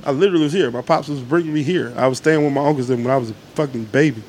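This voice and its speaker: A man's voice: medium pitch, rapid-fire, a little mellow, a little hiss or hum. A middle-aged man, a podcast guest telling loose, joking, profane stories in casual chat. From Atlanta, Georgia. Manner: laid-back, joking, profane storytelling.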